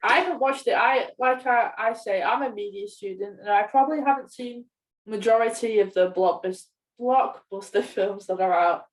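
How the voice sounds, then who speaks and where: A woman's voice: a little high, moderate pace. A young woman chatting casually as a podcast co-host. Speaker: podcast co-host, media student. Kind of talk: casual film podcast chat. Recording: roomy echo, distant from mic.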